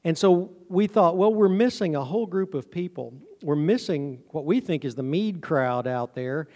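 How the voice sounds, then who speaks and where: A man's voice: a little high, expressive, normal speed, darkish tone. Folksy man speaking in a reverberant hall. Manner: folksy, conversational, self-deprecating. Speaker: conference speaker, honey farm business owner. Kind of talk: informal presentation to an audience. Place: large auditorium stage, indoors. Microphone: handheld microphone through PA, recorded from audience camera. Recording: hall reverb from stage sound system.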